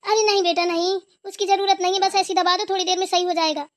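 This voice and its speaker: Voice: very high-pitched, little pitch movement, quick pace. A performer voicing an aching old village mother-in-law in a Hindi cartoon, bossy but affectionate. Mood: instructing, relieved. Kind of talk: Hindi family cartoon dialogue.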